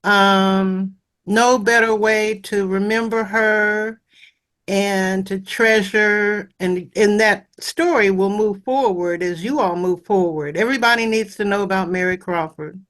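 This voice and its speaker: A woman's voice: medium pitch, measured pace, fairly bright tone. A woman speaking casually as an audience member, offering appreciative feedback.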